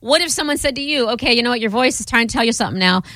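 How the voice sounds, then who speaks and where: A woman's voice: on the high side, animated, racing, pushed and bright, no room sound, clean studio sound. A woman co-hosting a radio talk show, pressing her point with many restarts and "like"s. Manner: persistent, full of restarts and "like". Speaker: radio co-host.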